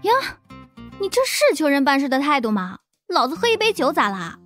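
Bratty high-voiced young woman, acted by a woman. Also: sing-song, brisk, a bit bright, soft background music. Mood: indignant. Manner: brash, complaining.

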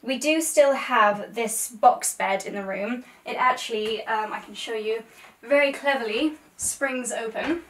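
Echoey, higher-pitched young English woman vlogging. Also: fairly bright tone. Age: young adult. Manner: informal, chatty presenting. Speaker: lifestyle vlogger and host. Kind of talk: chatty house tour to camera. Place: rooms of a house, indoors. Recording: echoey rooms, distant mic, some noise.

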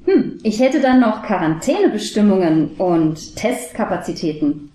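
A woman's voice: medium pitch, big pitch swings, moderate pace, warm tone, reverberant, natural voice, poor audio. A woman playing a courteous interviewer in a scripted comedy audio play, enunciating her questions precisely. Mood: playful. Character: polite woman interviewer for a school yearbook.

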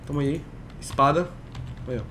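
High, bright-voiced young man with a cold. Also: slight background noise. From Brazil. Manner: jokey, quick back-and-forth. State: has a cold. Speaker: gaming video host. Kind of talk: playful gaming banter.

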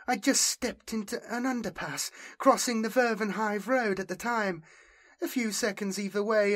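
A man's voice: high-pitched, fairly bright tone, voice pushed up. A man voicing a distressed, defiant young woman refugee in an audiobook, speaking urgently in clipped phrases.

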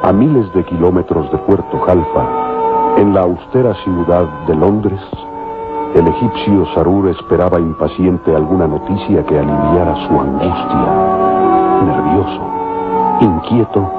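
An actor playing the solemn storyteller of an old adventure radio serial, narrating dramatically. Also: male voice, deep voice, unhurried. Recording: muffled old radio, loud music behind.

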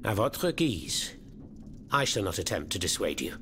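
An actor playing a fervent, florid French revolutionary in a video game, speaking with a French accent. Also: male voice, sits low, big pitch swings, a little slow, noisy background, exaggerated voice. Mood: grave, explanatory. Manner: theatrical, florid, eloquent. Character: theatrical, fervent male French revolutionary hiding underground. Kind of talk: video game cutscene acting.

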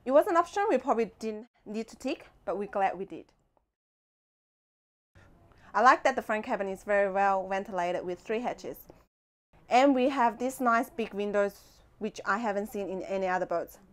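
A young woman giving a casual guided tour as a host, speaking into a clip-on mic inside a small boat cabin. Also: mid-range voice. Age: young adult.

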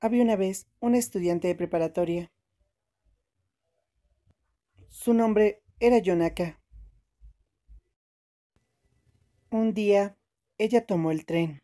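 A woman voicing a gentle cat-like creature soothingly telling a bedtime story in a game fan dub. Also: mid-range voice, moderate pace, close to an everyday voice. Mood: storytelling. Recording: music loud behind the voice. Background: tinkling music.

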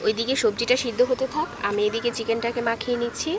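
A woman narrates a home cooking video, moving briskly from one step to the next with a calm, explanatory manner. Her voice sits a little high, and a steady noise runs under the recording. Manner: calm, explanatory, gives tips. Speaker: cooking channel host. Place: outdoors on grass, cooking on a stove.

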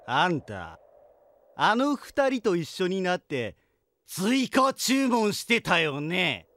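An actor playing an indignant, pushy shopkeeper who scolds and exclaims in a Japanese audio drama. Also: male voice, sits high, wildly swooping pitch, slow pace, sharp tone, dry recording, full character voice. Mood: accusing, demanding. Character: indignant, pushy shopkeeper demanding payment for damage.

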